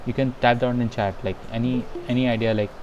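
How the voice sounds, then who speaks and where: A man giving a live online programming lesson over a video call. He talks in a halting, hesitant way, full of fillers and restarts. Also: mid-range voice, steady tone, a little mellow, muffled recording, a little hiss or hum. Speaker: instructor. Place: home in a rainy town.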